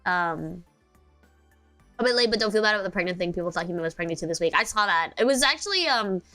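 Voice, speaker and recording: A woman's voice: medium pitch, animated, fast talker, pushed and bright, soft background music. A young American woman streaming, chatting casually and sleepily, rambling with many fillers and restarts. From United States.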